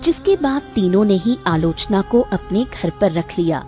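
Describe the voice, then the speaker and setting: Female cartoon narrator: scripted storytelling, unhurried and mellow. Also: medium pitch, animated, faint music behind.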